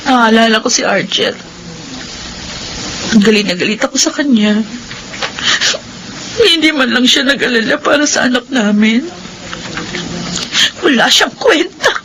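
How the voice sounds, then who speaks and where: A woman's voice: on the high side, lively intonation, a little slow, pauses often, noisy background. An actress playing a heartbroken, bitter young Filipino mother in an emotional, lamenting radio drama style. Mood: bitter, regretful.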